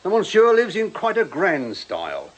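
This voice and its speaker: A man's voice: high-pitched, huge pitch swings, moderate pace, dull audio, full character voice. An actor playing a dutiful, plain-spoken police detective in a radio drama, reporting to his superior in a deferential, methodical and mildly droll way. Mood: tactful, deferential.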